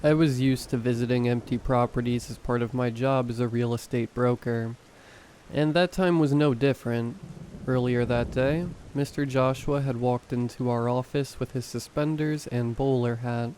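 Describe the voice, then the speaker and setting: A man narrating horror stories in a calm, measured storytelling voice. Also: medium pitch, a little hiss or hum, poor audio. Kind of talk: scripted narration.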